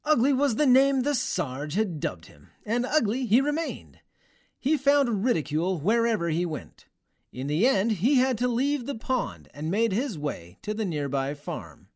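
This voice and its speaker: An actor playing a theatrical storybook narrator, delivering dramatic scripted narration for an audio drama. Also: male voice, high-pitched, wildly swooping pitch, unhurried, full character voice. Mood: grand, knowing. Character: theatrical storybook narrator of a mock spy tale.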